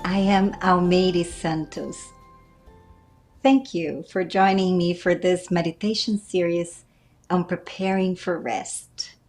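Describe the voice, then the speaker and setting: Woman, meditation teacher, slightly low pitch, unhurried delivery, gentle phrasing, long pauses. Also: steady tone, faint music behind. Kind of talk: guided meditation, calm instruction.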